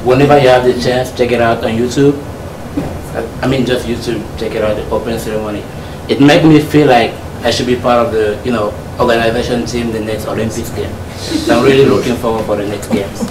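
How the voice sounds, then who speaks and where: A man's voice: medium pitch, fairly even intonation, a little fast. A man from Cameroon giving a short, friendly practice speech, chatting with the audience. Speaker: speech trainee. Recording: distant echoey room with noise.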